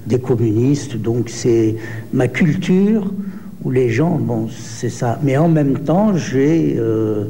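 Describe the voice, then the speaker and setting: Older Algerian-born man, slow, dark voice. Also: mid-range voice, lively intonation, in a large hall, slight background noise. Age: 70s. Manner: slow, hesitant, many pauses and fillers. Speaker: poet. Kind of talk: interview answers.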